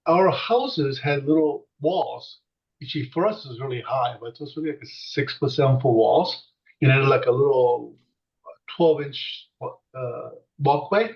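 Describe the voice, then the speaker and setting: Older Korean-born man, storytelling interviewee, rambling with fillers; mic set far off. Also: medium pitch. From South Korea. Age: 60s. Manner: rambling, many fillers and restarts. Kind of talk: reminiscing storytelling. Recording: distant muffled mic.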